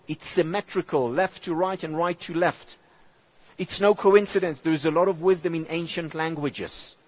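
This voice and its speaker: A man whose mother tongue is Hebrew gives a motivational conference talk in English, with measured, emphatic phrasing and deliberate pauses. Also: rough recording. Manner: lively, expressive pitch, dropping low on key words. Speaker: keynote speaker.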